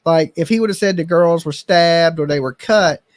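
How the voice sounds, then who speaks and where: A man's voice: a little high, normal speed, dry recording. A man hosting a casual chat, blunt and opinionated, swearing now and then.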